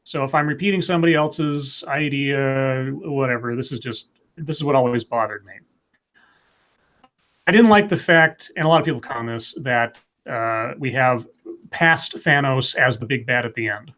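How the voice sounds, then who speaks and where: A man's voice: mid-range voice, normal speed. A man co-hosting a live comics chat show, talking loosely with lots of restarts and fillers. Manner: rambling, animated, many restarts and fillers. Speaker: podcast co-host. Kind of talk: casual live-stream chat.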